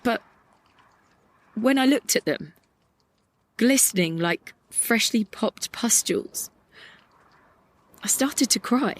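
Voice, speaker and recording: A woman's voice: on the high side, expressive, no room sound. A woman playing a melancholic, angry young idealist in a poetic audio drama monologue. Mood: wistful. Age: young adult. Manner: lyrical, reflective, deliberate. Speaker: actor in an audio play.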